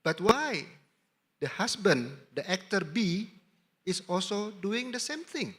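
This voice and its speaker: A man's voice: high-pitched, sing-song, takes it slowly, fairly bright tone. A middle-aged man with an Indonesian accent, speaking as a diplomat to a summit audience and repeating his key points for emphasis. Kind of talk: anecdotal talk with audience Q&A.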